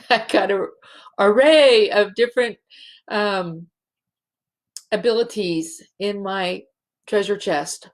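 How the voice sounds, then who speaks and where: A woman hosting a spiritual show, speaking in a halting, emotional way and close to tears. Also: medium pitch. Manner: slow, halting and emotional, many restarts. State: teary. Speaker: show host, healing facilitator. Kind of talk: heartfelt spiritual show monologue.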